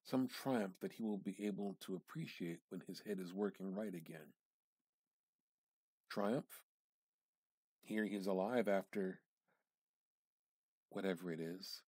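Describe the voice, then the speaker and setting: A man giving an amateur dramatic reading of literary fiction, theatrical and halting, with pauses and emphatic repetition. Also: low-pitched, darkish tone, slightly rough, no echo. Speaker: amateur reader.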